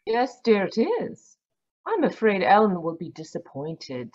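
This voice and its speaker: A woman's voice: mid-range voice, measured pace. A woman playing a genteel, affectionate and practical doctor's wife in a radio mystery, heard over a dull video-call line. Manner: genteel, expressive, wifely. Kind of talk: old-time radio mystery acting.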